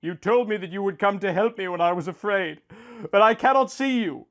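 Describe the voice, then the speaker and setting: A man's voice: sits high, brisk, a bit bright, voice pushed up. A man playing a dying wild warrior, bitter and anguished, in an impassioned, declamatory storybook reading. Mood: desperate pleading.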